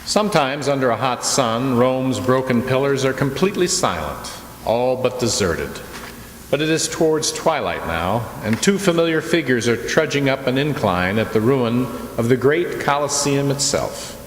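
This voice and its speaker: A man narrates a scripted radio play in a medium-pitched voice. The sound rings with the long reverb of a hall, over faint noise. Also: lively intonation, moderate pace.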